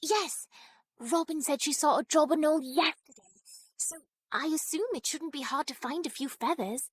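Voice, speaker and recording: Woman as a high, breezy schoolgirl witch. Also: moderate pace, no room sound, close to an everyday voice. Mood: breezy, confident. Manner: breezy, persuasive, reassuring. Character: confident, adventurous schoolgirl witch, reassuring and breezy. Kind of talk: video game cutscene acting.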